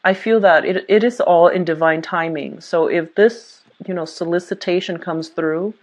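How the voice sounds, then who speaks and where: A woman's voice: lowish voice, normal speed. A woman giving a tarot reading to viewers, talking in a reassuring way with many fillers and restarts. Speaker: tarot reader.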